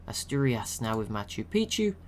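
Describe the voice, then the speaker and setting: A young man giving relaxed, chatty commentary over a strategy game he is watching, laughing to himself now and then. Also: medium pitch, normal speed, a little hiss or hum. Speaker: gaming channel host.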